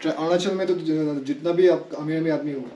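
A man with a fairly high voice chats casually at a campsite. He speaks softly and keeps his answers short. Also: steady tone, brisk, dull audio. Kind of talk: casual chat.

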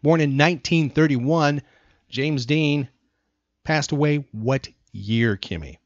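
Higher-voiced American man hosting trivia. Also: takes it slowly, dry recording. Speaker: podcast host and trivia quizmaster. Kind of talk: casual trivia chat, quizzing co-host. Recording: slightly dull, lo-fi sound.

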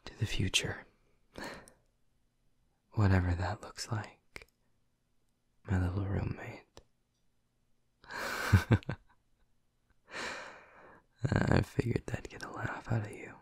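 Male actor as a doting vampire boyfriend: low, breathy, slow whispers, teasing and intimate. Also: fairly even intonation, no echo, studio quality, heavily acted. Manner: teasing and affectionate. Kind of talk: ASMR audio roleplay.

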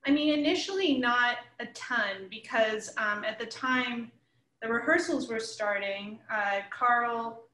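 A woman's voice: a little high, takes it slowly, sharp tone, reverberant, poor audio. A woman in her thirties chatting casually as an interviewed guest on a video call from a room at home. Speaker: interviewed comics creator. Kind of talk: casual panel discussion. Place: living room at home, indoors. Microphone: computer mic at a short distance, video call.

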